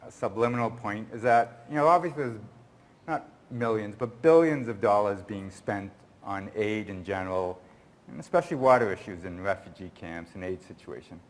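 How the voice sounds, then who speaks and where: A man's voice: lowish voice, lively intonation, normal speed. A man speaking at a conference, answering an audience question in a rambling, earnest way, often restarting his sentences. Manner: rambling, self-correcting, earnest.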